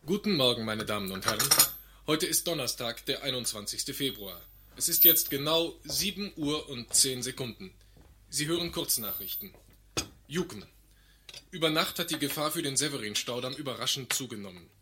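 A formal radio newsreader, with a bright, forceful voice at middle pitch. He announces in measured, scripted phrases over faint noise, as in a radio drama. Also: animated. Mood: formal, matter-of-fact. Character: male radio newsreader delivering urgent morning bulletin. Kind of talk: scripted radio news in a German radio play.

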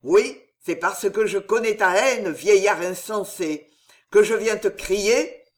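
A reader voicing a frenzied, desperate young nobleman who speaks loudly and vehemently in an old-fashioned storybook. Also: medium pitch, expressive, moderate pace, close to an everyday voice. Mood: furious. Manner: loud, vehement, near shouting.

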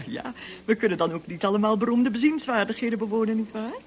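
A woman's voice: fairly high pitch, fast, close to an everyday voice. An actress playing a snobbish, gushing, domineering middle-aged society lady in a radio drama, with a pompous, affected, bossy manner.

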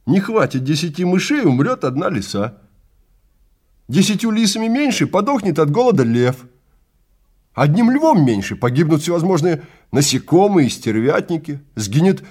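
A man's voice: a little high, darkish tone, pitched above the performer's own voice. A man playing a stern, contemptuous male time-travel guide in an audio drama, lecturing and commanding with great emphasis. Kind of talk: Russian audio drama acting.

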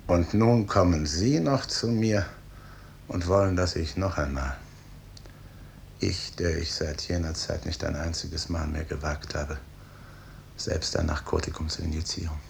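An actor playing a stern, brooding, guilt-ridden professor and clinic chief in a 1950s German radio drama, speaking with emphatic authority. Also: male voice, extremely low pitch, steady tone, measured pace, creaky, a little hiss or hum, heavily acted. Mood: bitter, agitated. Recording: early 1950s radio studio recording.

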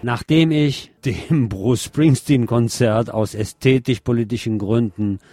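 A man's voice: mid-range voice, measured pace, dark tone. A man hosting a radio show, giving cultural commentary in a wordy, formal way with ironic asides. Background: music.

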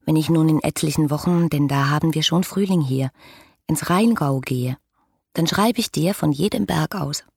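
An actress playing a headstrong, lovestruck young woman in a radio play, voicing her letters with breathy, ardent intimacy. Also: low-pitched, moderate pace, darkish tone, heavily acted. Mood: yearning. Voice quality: husky, breathy.

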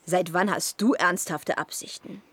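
An actress playing a vivacious, teasing, affectionate young society lady in a radio play, with an expressive, effusive manner. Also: lowish voice, unhurried, breathy edge, no echo, exaggerated voice. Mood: curious, teasing. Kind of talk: German radio play acting.

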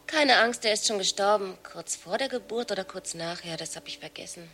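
An actress playing a dry, matter-of-fact half-sister in German radio play dialogue, with deadpan delivery. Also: female voice, mid-range voice, fairly quick.

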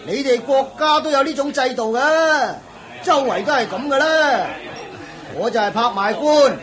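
A man's voice: extremely high pitch, measured pace, a bit bright, a faint crowd. A man voicing a middle-aged auctioneer who chuckles and lectures in a knowing, chatty way in a radio play. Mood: amused.

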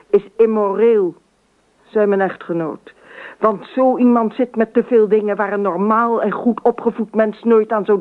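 An actress playing a dreamy, imaginative, talkative wife in a radio drama, with expressive theatrical delivery. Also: female voice, mid-range voice, lightly acted. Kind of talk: radio drama monologue and dialogue. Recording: tinny, narrow old radio recording.

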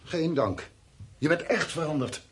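A man's voice: a little high, expressive, a little slow, fairly bright tone, breathy edge, full character voice. An actor playing a weary, defensive, practical man in a radio play, reassuring but turning irritable when challenged. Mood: defensive, irritated. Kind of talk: naturalistic radio play acting. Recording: noisy radio play recording with background ambience.

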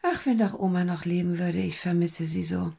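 An actress playing a fragile, paranoid older mother in a radio play, plaintive and husky. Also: on the low side, normal speed, mellow. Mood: tender, frail. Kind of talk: German radio play acting.

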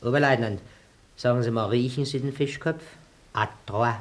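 A man's voice: medium pitch, normal speed, close to an everyday voice. An actor playing a suspicious, crude, bullying Nazi sergeant in a radio play, mocking and clipped in his speech. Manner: mocking, clipped, barking questions. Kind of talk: German radio play acting.

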